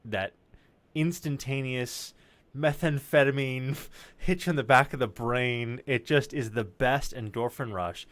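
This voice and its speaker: A man who writes and voices audio drama, chatting casually in an animated, joking, sweary way on a video call. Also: mid-range voice, measured pace, dry recording. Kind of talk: casual chat.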